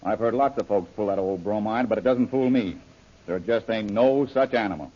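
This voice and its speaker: Man acting a husky, blunt radio adventure hero. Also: on the low side, normal speed, mellow, close to an everyday voice. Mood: skeptical. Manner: wry, blunt, folksy slang. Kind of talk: old-time radio serial acting. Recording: dull, lo-fi old radio recording.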